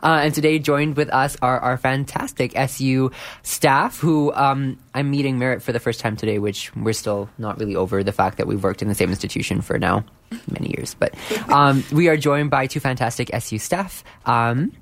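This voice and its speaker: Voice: mid-range voice, normal speed. A campus radio host introducing guests in a loose, self-deprecating way with many hesitations.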